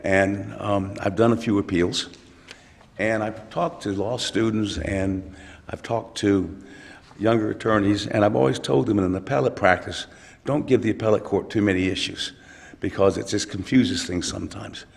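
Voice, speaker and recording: An older male attorney, hoarse from a cold, arguing an appeal in a courtroom with frequent halting restarts. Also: lowish voice. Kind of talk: appellate oral argument. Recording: long courtroom reverb.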